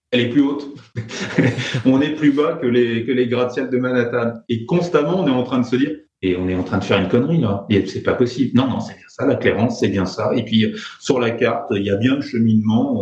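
A man with a French accent telling flying stories in conversation, pausing often with fillers and restarts. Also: medium pitch, darkish tone. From France. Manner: hesitant, many fillers and restarts. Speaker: private pilot guest. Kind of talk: conversational storytelling. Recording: roomy, dull lo-fi sound.

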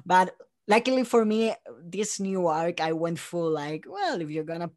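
A man's voice: high voice, expressive, normal speed, darkish tone. A man from Spain with a Spanish accent, chatting casually as an interview guest and acting out his own thoughts as he goes. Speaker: interview guest, audio drama creator.

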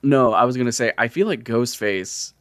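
A man's voice: medium pitch, moderate pace, dry recording, clean studio sound. A man hosting a podcast, talking casually and comedically with a wry, profane streak. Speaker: podcast host.

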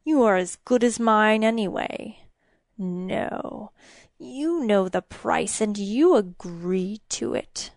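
Small sinister demon, played by a woman narrator: crackling hiss, very slow taunts, mock-polite menace, storybook villain, dry close sound. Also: mid-range voice, lively intonation, darkish tone, no echo. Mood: scornful, menacing. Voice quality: crackling, hissing, breathy whisper. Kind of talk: audiobook fairy-tale character voice.

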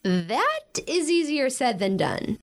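Casting: blunt teen sister, high-pitched, swooping delivery, dry teasing sarcasm, contemporary audio drama. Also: female voice, wildly swooping pitch, takes it slowly, full character voice. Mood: subdued, sincere. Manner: sardonic, teasing. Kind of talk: modern audio drama acting.

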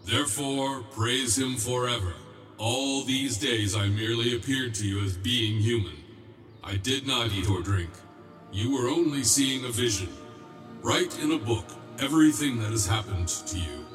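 A man playing a calm, authoritative archangel in a religious audio drama, solemn and measured in delivery. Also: mid-range voice, soft background music, natural voice. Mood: reassuring, commanding. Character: calm, authoritative male archangel revealing himself.

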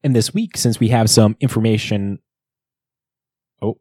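A man with a lowish, relaxed and mellow voice, co-hosting a podcast. He talks casually and jokes as he goes through a recap. The sound is close and dry. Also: a little slow, slightly rough, no echo. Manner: jokey, bantering. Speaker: podcast co-host.

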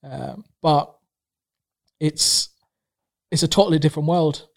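Chatty Tanzanian-raised man, fairly high voice. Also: unhurried, creaky. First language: Swahili. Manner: rambling, many fillers and restarts. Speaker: guest. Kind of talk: casual conversation.

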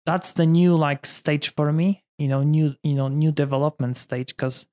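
Polish-accented priest, chatty and casual. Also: male voice, on the high side, fairly even intonation, moderate pace, a little mellow. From Poland. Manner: chatty, many fillers like "you know". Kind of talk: casual conversation.

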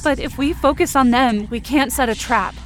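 High-voiced woman narrating suspenseful fiction. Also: normal speed, fairly bright tone, slight background noise. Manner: suspenseful, intense delivery. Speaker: fiction podcast narrator and performer. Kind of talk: first-person dramatic scripted narration. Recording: music bed under the voice. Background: dramatic background music.

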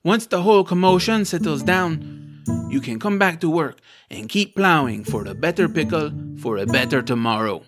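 A man acts out a smug office director for an audio drama, pitched up and falsely regretful. He sounds like a boss pleased with his own standards, with music playing behind him. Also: animated, normal speed, lightly acted. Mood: smug, mock-regretful.

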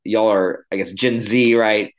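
A man's voice: fairly low pitch, steady tone, unhurried. A man who grew up in Illinois, a guest on a podcast, talking loosely with many "um"s and "like"s and a shifting pace. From Midwest, Illinois. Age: millennial. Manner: conversational, many fillers, uneven pace. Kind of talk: podcast interview.

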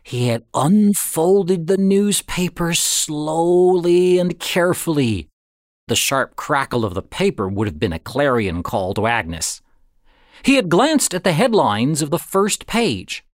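A man's voice: fairly high pitch, no echo. Guy narrating an audiobook, takes his time, lots of up and down in the voice, really dry sound. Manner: deliberate, expressive, with pauses for suspense. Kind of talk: dramatic scripted story narration.

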